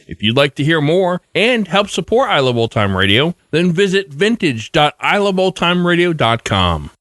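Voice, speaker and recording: A man's voice: a little high, a bit bright, no echo. A man hosting a podcast, reading a scripted introduction on a close mic. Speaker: podcast host.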